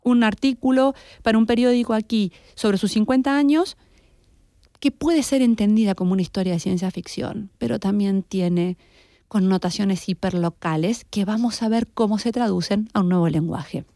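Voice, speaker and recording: A woman's voice: medium pitch, moderate pace, warm tone, dry recording, clean studio sound. A woman with an Argentine accent, talking reflectively as a podcast host and lecturer, explaining things and addressing listeners directly. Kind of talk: reflective conversational commentary.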